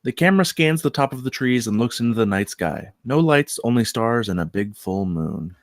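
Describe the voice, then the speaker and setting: A man with a medium-pitched voice narrates a screenplay, reading out scene headings and action. He puts deliberate dramatic weight on phrases, and the sound is dry with no room to it. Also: lively intonation, no echo. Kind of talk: reading screenplay stage directions aloud.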